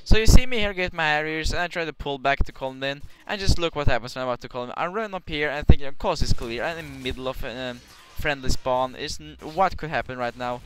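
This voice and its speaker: A man's voice: a little high, quick pace, few pauses, fairly bright tone, rough recording. A young man with a Norwegian accent giving casual, rambling commentary over his gameplay, swearing now and then. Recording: clear background hiss.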